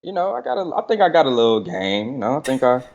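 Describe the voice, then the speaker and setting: A man's voice: mid-range voice, big pitch swings, rapid-fire, darkish tone, lo-fi sound. A man co-hosting a podcast from a remote call, joking and teasing in a rambling way. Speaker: podcast co-host. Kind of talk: casual podcast chat.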